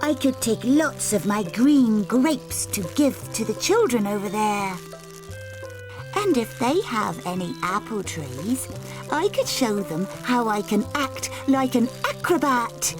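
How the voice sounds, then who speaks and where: A woman's voice: medium pitch, very animated, measured pace, vocal fry, hardly any pauses, music in the background, voice pushed up, rough recording. Woman voicing a bubbly adventurous girl. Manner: bubbly, enthusiastic. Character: cheerful adventurous young girl.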